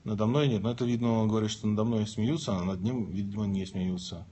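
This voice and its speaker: A man's voice: lowish voice, fairly even intonation, quick pace, mellow, a little hiss or hum. A man in his thirties lying in bed, chatting on a livestream and joking as he reads viewer questions aloud and answers them. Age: 30s. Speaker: livestream host. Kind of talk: casual livestream chat reading viewer questions. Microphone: phone held at arm's length.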